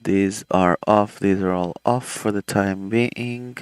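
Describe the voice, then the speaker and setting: A man's voice: fairly low pitch, slow pace, clean tone, no echo. A man streaming a flight simulator session, chatting casually with many fillers while running cockpit checks, his throat a bit swollen. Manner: relaxed, rambling, many fillers. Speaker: flight sim streamer, airline pilot.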